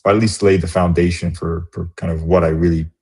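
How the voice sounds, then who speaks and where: A middle-aged man, born in Romania and raised in the US, speaking as an interview guest in a reflective, halting way with many restarts. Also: sits low, flat delivery, dark tone, husky. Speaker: guest, business executive. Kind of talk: casual interview conversation.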